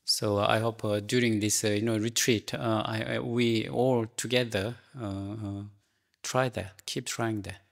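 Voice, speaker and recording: A man giving a Zen talk and answering a student's question in halting English, with many restarts and fillers. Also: on the low side, steady tone, gravelly. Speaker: Zen teacher. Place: meditation hall.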